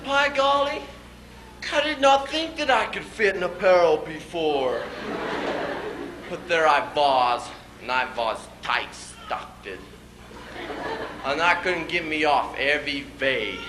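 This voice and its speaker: Man doing a high, animated farmer, echoey recording. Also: slow pace, pauses often, background noise. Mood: rueful, amused. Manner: broken English with comically muddled grammar. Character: stout, hot-tempered immigrant farmer husband telling his mishaps. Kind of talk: comic dialect monologue.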